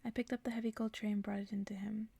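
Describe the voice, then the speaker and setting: A woman speaking in a soft, hushed whisper in the style of an ASMR bedtime reading. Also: medium pitch, level tone, quick pace, breathy edge, dry recording. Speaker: ASMR creator reading stories to listeners.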